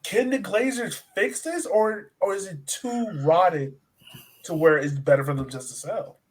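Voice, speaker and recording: A man's voice: high-pitched, measured pace, poor audio. A man hosting a soccer podcast, asking questions and giving emphatic opinions in a conversational style. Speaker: sports podcast host.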